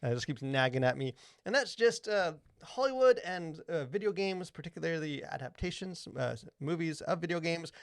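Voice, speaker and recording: Rambling man with a gravelly voice. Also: a little high, expressive, a little fast, few pauses, no echo, studio quality. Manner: rambling, many fillers like "like" and "you know". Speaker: podcast co-host. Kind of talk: casual chat.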